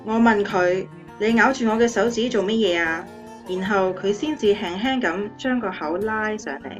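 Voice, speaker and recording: Radio drama: actress as affectionate, earnest young fiancée, mid-range voice, guitar underneath. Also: female voice, mid-range voice, moderate pace, lightly acted. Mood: loving. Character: adoring, happy young fiancée of a motivational speaker. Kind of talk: scripted radio drama interview. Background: guitar music.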